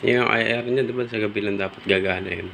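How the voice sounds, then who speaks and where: A man's voice: on the low side, steady tone, fast talker, gravelly, a bit bright. A Filipino man chatting casually with a coworker as he troubleshoots equipment, in a noisy on-site recording. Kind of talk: casual work chat.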